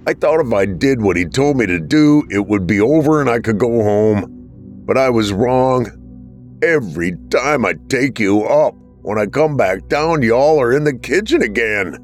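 A man voicing a worn-out, desperate handyman who pleads and explains, pitched well above his own voice. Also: lively intonation, moderate pace, soft background music, natural voice. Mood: weary. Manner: weary, pleading.

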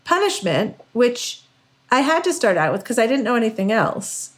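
A woman's voice: fairly high pitch, big pitch swings, moderate pace. A middle-aged American woman chatting casually as a podcast co-host, self-deprecating and peppering her sentences with "like". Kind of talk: casual conversational chat.

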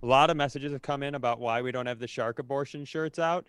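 A man's voice: medium pitch, fast, a bit bright, smooth, no room sound. A man co-hosting a podcast, telling stories casually in a rambling, self-interrupting way with dry, self-deprecating humour. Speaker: podcast co-host. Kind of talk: casual comedic chat and storytelling.

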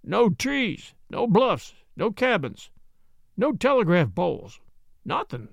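Guy playing a gruff worn-out frontiersman, blunt talk, gravelly. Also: high voice, big pitch swings, takes it slowly, no echo, full character voice. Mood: glum. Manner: blunt, plain-spoken.

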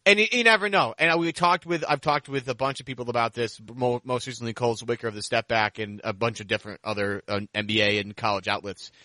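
Bright, crisp-edged man talking sports, close and dry. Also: medium pitch, steady tone, quick pace, hardly any pauses, dry recording. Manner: repeats phrases for emphasis. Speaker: basketball podcast host. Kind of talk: casual sports talk.

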